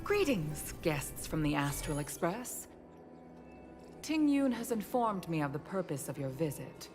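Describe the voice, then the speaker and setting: A woman voicing a stern, dignified official in a video game, formal, measured and authoritative. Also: sits low, big pitch swings, darkish tone, faint music behind. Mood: cool, courteous.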